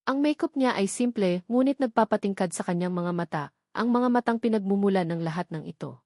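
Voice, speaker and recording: A woman narrating a romance story in a measured, dramatic storytelling manner. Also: mid-range voice, darkish tone, dry recording, clean studio sound. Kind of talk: scripted storytelling.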